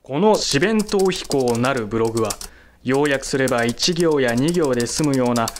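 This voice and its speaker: A woman playing a pensive, wordy food blogger in a radio drama monologue, narrating in a measured literary style. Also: extremely low pitch, expressive. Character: pensive, wordy food blogger musing alone over meals. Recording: faint typing noise behind. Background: keyboard typing.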